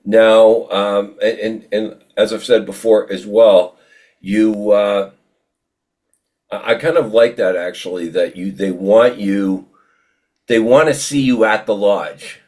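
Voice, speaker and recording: A man hosting an informal interview, speaking in a halting, conversational way and repeating words. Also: lowish voice, fairly even intonation, darkish tone. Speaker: interviewer and show host.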